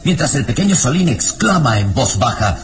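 A man's voice: medium pitch, very animated, moderate pace, background noise. A man narrating an old radio drama in a vivid, declamatory way.

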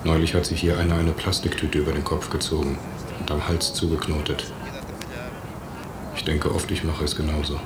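An actor playing a lonely, despairing prisoner voicing his letter in a radio drama, flat and withdrawn. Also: a bass voice, moderate pace, long pauses. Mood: despairing, numb. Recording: very noisy cassette, echoey room.

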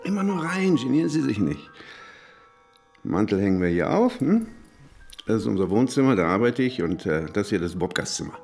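A man's voice: lowish voice, sing-song, fairly quick, creaky, darkish tone, soft background music. An actor playing a harried, irritable male mathematician in a German radio drama, given to exasperated muttering and lecturing. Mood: flustered politeness.

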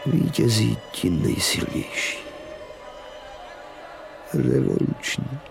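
Gravelly, intense man of science. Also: medium pitch, lively intonation, over music. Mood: awed horror. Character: grim man of science watching creatures devour each other. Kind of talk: dramatic radio drama dialogue.

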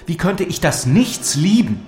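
An actor playing a sceptical, melancholy gentleman lord who objects formally in a literary audio drama. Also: high voice, very animated, a little slow. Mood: doubtful, protesting. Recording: roomy echo, faint background noise.

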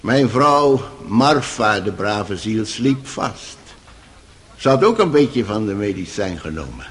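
An actor playing an old male servant on the witness stand in a radio drama, hesitant and easily indignant. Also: mid-range voice. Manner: rambling, hesitant, indignant when challenged. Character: excitable old male servant testifying in court.

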